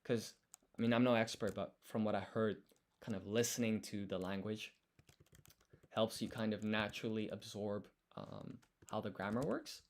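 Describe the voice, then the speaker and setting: A man's voice: lowish voice, steady tone, normal speed. A man livestreaming casually as he studies, thinking aloud and sounding out words syllable by syllable. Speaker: live streamer studying Japanese. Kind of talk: casual live study chat.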